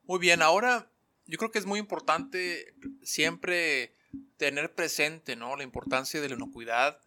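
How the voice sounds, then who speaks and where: A man hosts an interview podcast. His voice sits a little high and is clearly bright and forceful. He addresses his guest formally and courteously. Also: unhurried. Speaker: podcast host.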